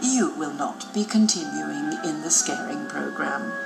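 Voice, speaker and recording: A woman's voice: low voice, measured pace, creaky, heavily acted. A woman voicing a stern, imperious female monster who is a college dean, speaking formally and with command in a storybook production. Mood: cold fury. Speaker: voice actor in storybook. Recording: music behind the voice.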